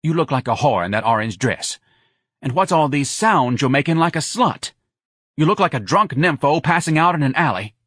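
A man's voice: a little high, animated, moderate pace, fairly bright tone, slightly rough, no room sound, clean studio sound, voice pushed up. Man as a bossy, snapping female club owner. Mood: scornful. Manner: snapping, contemptuous, crude. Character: hard-nosed, bossy, scornful female nightclub owner Lana.

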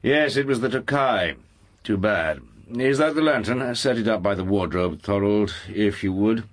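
An actor playing a commanding aristocratic uncle, curt and coolly domineering in an audiobook drama. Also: male voice, fairly low pitch, expressive, normal speed. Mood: brisk, businesslike. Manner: curt, imperious, clipped commands.

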